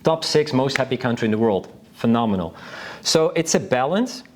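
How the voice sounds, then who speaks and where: Man, from Northern Europe, panelist, mid-range pitch, informal talk, enthusiastic, rambling delivery. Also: lively intonation, moderate pace, slight background noise. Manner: rambling, enthusiastic, frequently restarting sentences.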